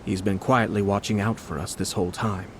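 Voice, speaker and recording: An actor playing a courteous, measured noble lord in a video game. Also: male voice, on the low side, fairly even intonation, fairly bright tone, slight background noise, lightly acted. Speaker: clan lord. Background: game music.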